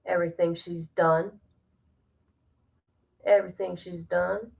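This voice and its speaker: A woman's voice: lowish voice, level tone, slow, creaky, lo-fi sound. A woman hosting a casual livestream, reading aloud and commenting in a blunt, incredulous, gossipy way. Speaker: livestream host reacting to a document.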